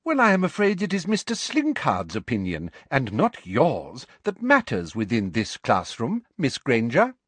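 A man voicing a prim, sugary, vindictive middle-aged female teacher in a smooth, condescending manner for a storybook. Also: mid-range voice, sing-song, unhurried, dry recording, pitched above the performer's own voice. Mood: shrill, indignant.

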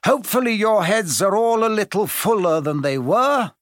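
A man's voice: sits high, animated, moderate pace, no room sound, voice pushed up. A man puts on an old wizard headmaster from a storybook. He is cheerful and whimsical, addressing others grandly in a raised voice. The sound is very dry.

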